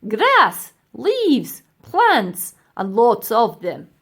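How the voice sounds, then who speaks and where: Lady doing evil old professor guy for a kids audiobook, pompous n gloating, slow and emphatic, really swoopy. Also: a little high, wildly swooping pitch, fairly bright tone, slightly rough, full character voice. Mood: stern, lecturing. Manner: emphatic, drawn-out, pompous delivery. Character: gloating, cold-hearted old male professor villain. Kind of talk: children's audiobook character acting.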